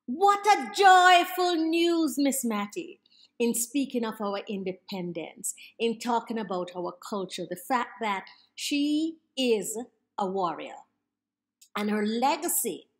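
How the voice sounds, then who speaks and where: An older woman with a Jamaican accent, a poet giving a measured, emphatic spoken tribute over a video call from her home study. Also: a little high, expressive. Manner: measured, emphatic, with list-like repetition. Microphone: video call webcam.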